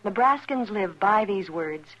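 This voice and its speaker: A woman's voice: mid-range voice, animated, a little slow, a bit bright. A woman with an American accent reading scripted narration as a mid-century radio announcer. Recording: dull old radio recording.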